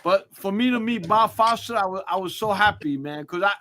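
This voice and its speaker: A man who calls himself a boxing historian, talking excitedly in a group chat, stammering and swearing freely. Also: high voice, fairly quick, a little hiss or hum.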